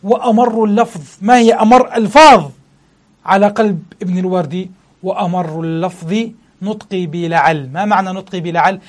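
A man with a high voice gives a religious lesson on a classical poem. He preaches with fervor, piles up rhetorical questions and slows down to recite each verse. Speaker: Islamic scholar teaching a classical poem. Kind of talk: religious lesson explaining poetry.

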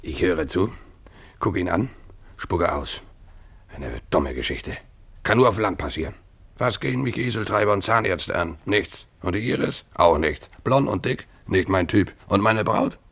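An actor in a German radio play voicing a gruff, hard-drinking sea captain who blusters and dodges marriage. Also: male voice, low voice, lively intonation, normal speed, fairly bright tone, heavily acted. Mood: dismissive. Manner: blustering, nautical swagger with muttered asides. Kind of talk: German radio play acting, narrating and dialogue.

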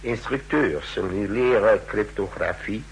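Curt, low-voiced secret police chief. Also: male voice, a little slow, vocal fry. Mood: cool, businesslike. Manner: curt, authoritative, clipped orders. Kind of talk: 1960s Dutch radio drama acting. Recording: noisy 1960s radio recording with hum.

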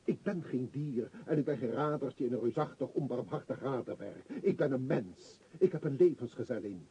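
An actor playing an earnest, self-righteous shopkeeper and family man in a radio play, with a dark, husky voice and insistent manner. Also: medium pitch, expressive, moderate pace. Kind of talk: Dutch radio play acting.

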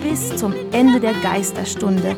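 A woman's voice: a little high, sing-song, takes it slowly, gravelly, loud music behind the voice. A woman narrator reading scripted storybook narration for a radio play.

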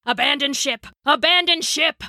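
A woman's voice: on the high side, animated, normal speed, sharp tone, no echo, clean studio sound. A woman voicing a commanding male ship captain, an uncle giving urgent orders in a storybook narration.

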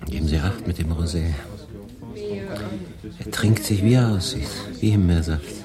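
An actor playing a world-weary German refugee in a wartime radio play, confiding and rambling to a silent listener. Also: male voice, low-pitched, normal speed, lightly acted. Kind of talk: radio play acting, conversational monologue. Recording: busy café murmur behind. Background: crowd in a café.

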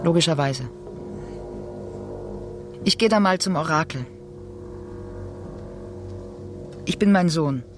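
An actor playing a brooding, fractured figure from Greek tragedy in a radio drama monologue, with halting, repetitive, self-questioning delivery. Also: male voice, a little high, close to an everyday voice. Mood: wry, resigned. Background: music.

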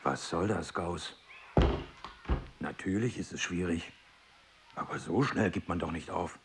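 An actor playing a harsh village schoolteacher who likes to beat his pupils, barking orders and then turning hushed and menacing in a radio play. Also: low-pitched, unhurried, a little hiss or hum. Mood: stern, disbelieving. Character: harsh old-school male village teacher who loves beating pupils.

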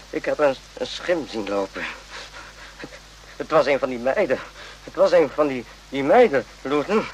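An actor playing a distraught, embittered military man in a radio play, talking in halting, agitated repetitions. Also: fairly high pitch. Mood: shaken, muttering. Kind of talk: Dutch radio play acting. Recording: noisy old radio drama recording.

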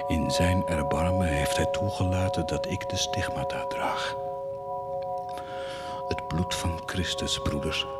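A fervent, tormented man, cavernous reverb. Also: deep voice. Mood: hushed, solemn. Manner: declamatory, swinging between hushed and roaring. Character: tormented, fervent man defying God and claiming divine wounds. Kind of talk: theatrical radio drama acting. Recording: cavernous long reverb under loud music.

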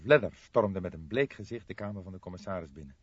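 A man's voice: low voice, lively intonation, quick pace, husky. A man narrating a crime novel as a scripted, dramatic audiobook reading. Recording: roomy echo, slightly muffled audio.

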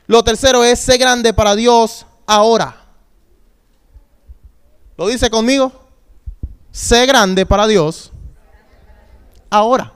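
A man's voice: very high voice, slow pace, long pauses, smooth. A man in his thirties, a youth pastor, preaching a sermon with call-and-response and emphatic repetition. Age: 30s.

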